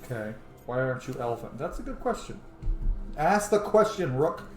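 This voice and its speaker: A roomy echo surrounds a man's mid-pitched voice, with faint music behind it. He comments casually on the game he is playing, thinking aloud in informal, sweary language. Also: sing-song, normal speed. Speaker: gaming streamer.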